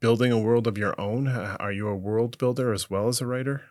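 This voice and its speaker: A man hosting a podcast, asking casual questions in a relaxed, encouraging way. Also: mid-range voice, fairly quick, dry recording, clean studio sound. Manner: relaxed, encouraging, short reactions. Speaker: podcast host.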